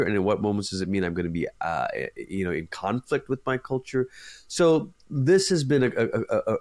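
A man from Malaysia, a pastor, giving a long thoughtful interview answer and pausing to search for words. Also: lowish voice.

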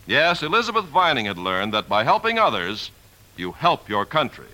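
A man's voice: medium pitch, huge pitch swings, normal speed, pushed and bright, poor audio. A man delivering formal, polished scripted narration as a 1950s radio announcer, with emphatic phrasing.

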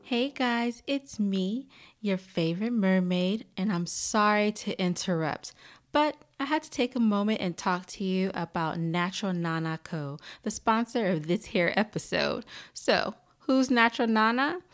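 A woman's voice: medium pitch, lively intonation, a little slow. A woman hosting a podcast, reading a scripted show introduction and sponsor message to listeners. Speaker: podcast host.

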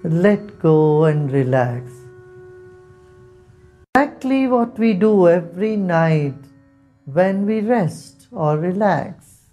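Male meditation guide: unhurried and soft-spoken, warm and mellow, with light instrumental music behind. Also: high-pitched. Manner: slow, soft, calm instructions with long pauses.

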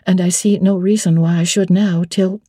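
A woman's voice: lowish voice, fairly even intonation, normal speed, mellow, no echo, clean studio sound, natural voice. Woman playing a prim, spirited young governess. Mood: polite defiance. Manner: prim, firm, formally polite. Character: spirited, stubborn young governess, politely defiant.

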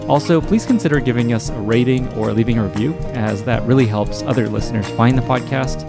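A man's voice: mid-range voice, fairly quick, gravelly, loud music behind the voice. A man hosting an interview podcast, upbeat and enthusiastic as he asks questions and wraps up. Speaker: podcast host.

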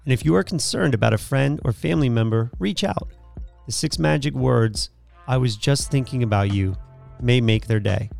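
Male announcer reading a scripted outro; even and measured, with a gravelly edge. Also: medium pitch. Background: soft music.

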